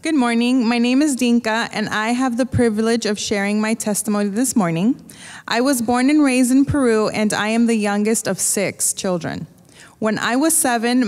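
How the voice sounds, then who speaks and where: A woman's voice: mid-range voice, darkish tone. A woman interpreting a testimony, reading a prepared translation steadily, phrase by phrase, on a church stage. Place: church stage before an audience. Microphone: stand microphone. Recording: long reverb of a large church hall.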